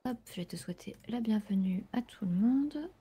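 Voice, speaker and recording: A woman's voice: a little high, lively intonation, normal speed, dark tone, slightly rough. A woman chatting casually in an improvised online roleplay, thinking aloud as she welcomes a new employee.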